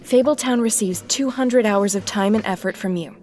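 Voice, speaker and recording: A woman's voice: medium pitch, normal speed, a little mellow, natural voice. A woman playing a dutiful elder sister and town official in an audio drama, firm and earnest. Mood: stern.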